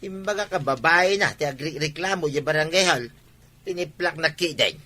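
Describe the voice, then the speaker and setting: A brash, argumentative village man played by an actor in an Ilocano comedy drama. His voice is a little high and extremely bright and forceful, with an Ilocano accent. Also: moderate pace. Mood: grumbling.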